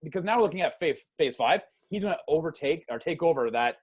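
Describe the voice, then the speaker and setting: Slightly high-voiced man in casual fan chat. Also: animated, fast talker, slightly rough. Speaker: podcast panelist.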